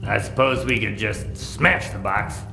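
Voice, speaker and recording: An actor playing a cocky, impatient adventurer in a radio drama, flippant and sarcastic, with a gravelly voice. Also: male voice, on the low side, measured pace, bright, forceful tone, heavily acted. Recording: roomy echo, music underneath. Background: music.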